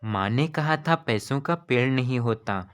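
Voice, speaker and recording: A man's voice: mid-range voice, expressive, unhurried, clear, resonant tone, lightly acted. A man voicing an earnest, kind-hearted young boy in a cartoon story, eager and pleading.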